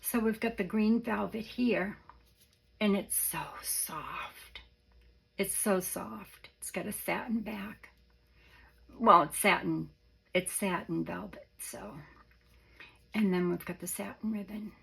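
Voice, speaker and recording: A middle-aged woman with a hoarse, gravelly voice, slow and unhurried as she admires ribbons and lace. Her tone is husky and soft, and she often dips into a near-whisper. It is a casual show-and-tell for crafters. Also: medium pitch, poor audio. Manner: soft-spoken, gushing, often drops to a whisper. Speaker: craft vlogger.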